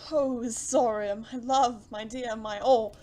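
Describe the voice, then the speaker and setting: A woman playing a doting, pompous gentleman suitor in a period audio drama, with gallant, flowery and fussy delivery. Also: fairly high pitch, steady tone, measured pace, close to an everyday voice. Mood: fretful, doting. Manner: flowery, gallant, fussy, stammers when flustered.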